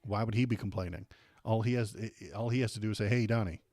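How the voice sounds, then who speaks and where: An American man hosting a casual political livestream, rambling and halting with repeated words, irreverent and sarcastic as he chats with viewers. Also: fairly low pitch, steady tone, a little mellow, dry recording. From United States. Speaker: livestream host. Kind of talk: casual political commentary, chatting with live viewers.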